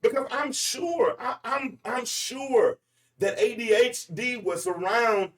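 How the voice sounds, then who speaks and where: A man's voice: very high-pitched, slow pace, recorded from a distance. A man, hosting a freestyle commentary monologue in an emphatic, rambling, preachy way. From Arkansas, US. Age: 40s. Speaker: podcast host.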